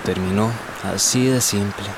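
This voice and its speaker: A man's voice: lowish voice, moderate pace, background noise, close to an everyday voice. An actor playing a moody, careless young man in a radio drama, with a Costa Rican accent. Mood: dejected. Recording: radio drama with music underneath.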